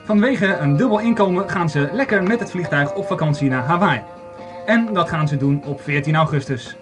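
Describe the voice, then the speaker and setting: Male storyteller for amateur radio play, slightly high voice, music underneath. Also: expressive, moderate pace. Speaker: narrator.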